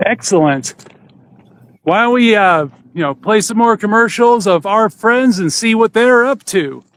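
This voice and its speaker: A man's voice: sits high, animated, unhurried, fairly bright tone, slight background noise. A man chatting casually as the host of a podcast. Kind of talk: casual chat.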